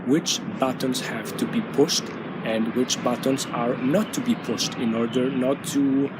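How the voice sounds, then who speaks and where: A man talks straight to his audience in an earnest, measured way, pausing often and filling gaps with small hesitations. His voice sits at an ordinary middle pitch. He is recorded outdoors, with loud car traffic rumbling close behind him. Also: steady tone. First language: Greek. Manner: earnest and measured, with frequent pauses and fillers. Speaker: channel host, stuttering coach. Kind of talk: motivational talk to camera.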